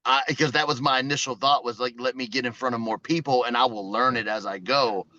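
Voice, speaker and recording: A blunt man who swears freely and often restarts his sentences as he answers. His voice has a bright edge. The sound is dry and muffled, like a dull line. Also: mid-range voice, steady tone, fairly quick, no room sound. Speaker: sales rep prospect. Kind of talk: casual phone call.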